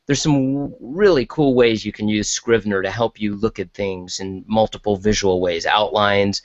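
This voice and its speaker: Guy with a lowish voice rambling on a podcast, stops and restarts, dry but dull audio. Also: no echo. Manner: rambling, halting, self-interrupting. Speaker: podcast guest, writer. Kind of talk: casual chat.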